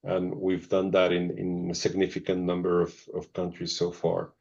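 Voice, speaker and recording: A middle-aged man, answering interview questions thoughtfully and pausing often with ums and restarts. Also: deep voice, completely flat delivery, vocal fry, a little mellow. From Zimbabwe, Portuguese family. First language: Portuguese. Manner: hesitant, many ums and restarts. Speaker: pharma executive guest. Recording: dull lo-fi audio.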